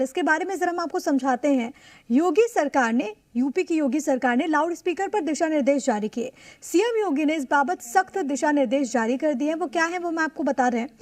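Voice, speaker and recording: An Indian woman anchoring live television news, speaking emphatically and repeating key points over background music. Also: high-pitched.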